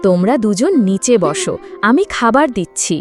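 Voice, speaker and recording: A woman's voice: medium pitch, sing-song, moderate pace, over music, close to an everyday voice. A woman playing a practical, nagging middle-aged village wife and mother in a cartoon fairytale. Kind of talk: Bengali cartoon fairytale dialogue.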